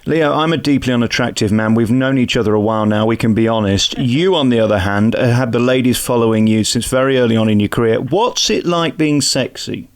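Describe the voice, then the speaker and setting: A man's voice: mid-range voice, a little fast, hardly any pauses. A man interviewing conversationally, putting questions with a cheeky, self-deprecating touch. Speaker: radio interviewer.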